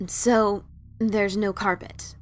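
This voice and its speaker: A woman's voice: mid-range voice, little pitch movement, slow, faint music behind, lightly acted. A woman voicing a brash, skeptical pony adventurer, blunt and informal, in a fan fiction dramatic reading. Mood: stunned, suspicious.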